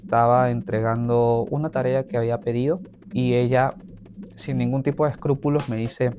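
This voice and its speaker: Venezuelan man, reflective documentary testimony, measured delivery, music under. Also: medium pitch, level tone, highs cut off. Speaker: migrant interviewee.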